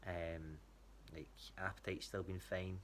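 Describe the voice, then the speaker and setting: A man's voice: sits low, flat delivery, measured pace, a bit bright, slight background noise. A Scottish man giving a casual spoken check-in, talking in a hesitant, rambling way. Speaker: athlete giving a training check-in to a coach.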